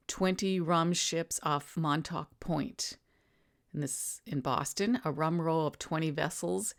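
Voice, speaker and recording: A woman narrator reading old newspaper headlines in a matter-of-fact way, adding informal summaries as she goes. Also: low-pitched.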